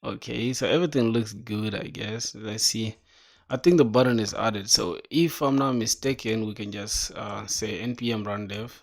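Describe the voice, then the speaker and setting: A man's voice: lowish voice, moderate pace, vocal fry. A young man streaming himself coding, chatting casually with viewers and thinking aloud in slang. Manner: slangy, calls viewers "bro", thinks aloud and reads docs; bursts into excited reactions between mumbled reading. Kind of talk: casual livestream chat while coding.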